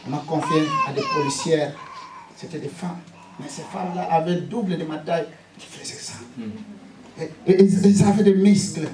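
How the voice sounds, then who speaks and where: Fervent preacher in an echoey church. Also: male voice, fairly high pitch, moderate pace, crowd noise. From Congo. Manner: fervent, punctuates with repeated hallelujahs, sometimes shouts; stumbles and repeats words. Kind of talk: sermon with personal testimony. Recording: roomy church hall, mic at a distance, congregation audible. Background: congregation responding.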